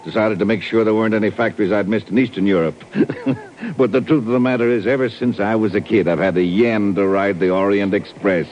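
Man playing a low-voiced, hearty American salesman. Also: fairly even intonation, fairly quick, lightly acted. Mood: jovial, chatty. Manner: chatty, hearty. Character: folksy, talkative American shoe salesman, eager for adventure. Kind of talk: 1950s radio drama acting. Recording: muffled, noisy vintage radio broadcast.

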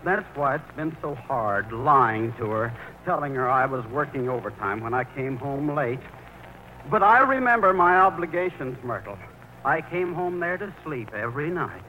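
Man playing a timid, dithering middle-aged mama's boy, swooping pitch. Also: lively intonation, moderate pace, lightly acted. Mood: flustered, earnest. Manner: hesitant, earnest, stammering nervously. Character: baffled, timid 38-year-old mama's boy. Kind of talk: 1940s radio comedy acting. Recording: muffled, noisy old radio broadcast.

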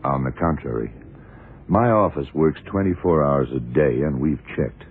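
An actor playing a blunt, dry police detective in a 1970s radio crime drama, questioning in a clipped, matter-of-fact way. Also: male voice, extremely low pitch, animated, normal speed, slightly rough, exaggerated voice. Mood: cool, confronting. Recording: tinny, band-limited old radio recording.

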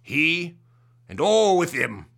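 A man playing a fierce, commanding colonial ringleader in a radio drama, with theatrical, emphatic archaic speech and a gravelly, forceful voice. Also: a little high, big pitch swings, slow, full character voice. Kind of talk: period radio drama acting.

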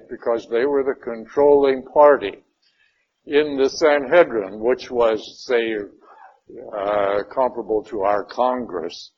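A man leading a Bible study class in a church meeting room, first praying and then teaching in an unhurried, conversational way, a little raspy from a cold. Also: mid-range voice, muffled recording, a little hiss or hum. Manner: unhurried, conversational, with frequent "uh" and "all right". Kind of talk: opening prayer then informal Bible lesson.

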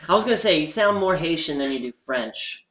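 Bright-voiced man joking in an echoey room. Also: on the high side, animated, a little fast. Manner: dry, riffs on jokes. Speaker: podcast co-host. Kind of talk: crude casual chat.

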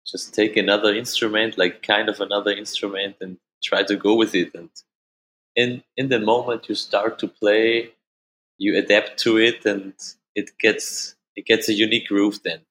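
A man from Austria whose first language is German, speaking English with an Austrian German accent as an interview guest, halting and repeating words, often laughing. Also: fairly low pitch, fairly bright tone, slight background noise, rough recording. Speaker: musician guest. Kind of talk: casual interview answers.

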